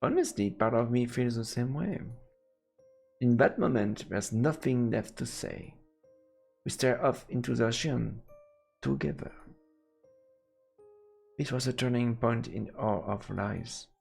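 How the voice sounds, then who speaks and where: A man with a gravelly voice doing casual let's play commentary and reading game text aloud as he streams. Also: medium pitch, unhurried, pauses often, a little mellow. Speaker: streamer playing a visual novel. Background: game music.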